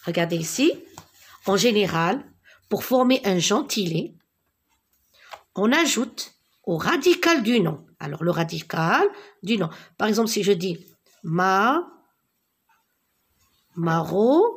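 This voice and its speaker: A woman's voice: medium pitch, very animated, takes it slowly, long pauses, slightly rough. A woman with a Moroccan accent teaching a primary school French lesson, repeating words and spelling out letters one by one.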